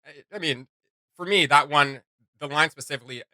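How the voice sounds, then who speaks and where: A man's voice: a little high, a little fast, bright, forceful tone, dry recording, clean studio sound. A man hosting a reaction video, giving casual, opinionated commentary and rephrasing his points as he goes.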